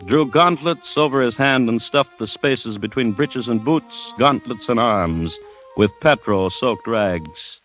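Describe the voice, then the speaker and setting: An actor playing a commissioner who witnesses and recounts events with grave drama, in old-time radio style. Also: male voice, medium pitch, a bit bright, rough recording. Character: anxious male commissioner, grave witness narrating the tale. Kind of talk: 1940s-50s radio drama acting.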